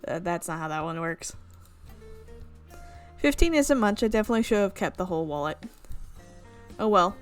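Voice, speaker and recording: Chatty young woman reading a visual novel. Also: fairly low pitch. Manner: chatty, amused asides, laughs at the script. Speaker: visual novel let's player. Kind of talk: reading a game aloud with casual commentary.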